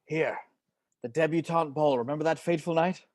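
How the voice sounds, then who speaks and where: A man's voice: fairly high pitch, a little fast, darkish tone, dry recording, studio quality, natural voice. A man playing a passionate, melodramatic cigar-smoking patriarch in a comedy script table read. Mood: fond, nostalgic. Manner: theatrical, dramatic.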